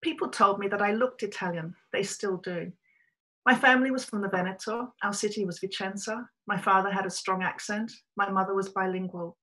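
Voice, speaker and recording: A woman's voice: medium pitch, steady tone, fairly quick, pushed and bright, rough recording. Reflective woman telling her story. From Australia. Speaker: writer giving an online talk. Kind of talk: prepared talk to camera. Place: small room indoors.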